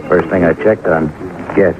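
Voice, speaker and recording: An actor playing a grim police sergeant in a 1950s radio drama, reporting in terse, deadpan fragments. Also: male voice, sits low, moderate pace, lightly acted. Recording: noisy narrow-band old radio recording.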